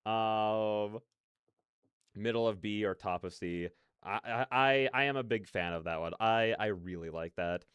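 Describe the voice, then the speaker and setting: A man hosting a reaction video, giving casual, excited commentary in a rambling, repetitive way with stammered emphasis. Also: lowish voice, measured pace, clean tone, no room sound, muffled recording.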